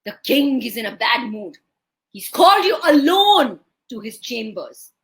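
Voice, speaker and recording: A woman's voice: fairly high pitch, big pitch swings, measured pace, creaky, fairly bright tone, full character voice. A woman voicing an anxious, bossy palace servant in a children's story, scolding urgently.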